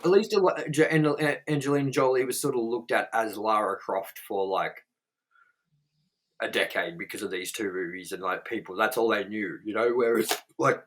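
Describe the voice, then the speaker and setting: A man's voice: fairly low pitch, normal speed, a bit bright, rough recording. A man with an Australian accent, co-hosting a movie review chat, blunt and profane, rambling with lots of fillers.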